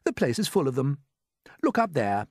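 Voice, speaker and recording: A man's voice: medium pitch, very animated, normal speed, a little mellow, no echo, studio quality, voice pushed up. A man voicing an earnest, determined, polite young boy in a children's story.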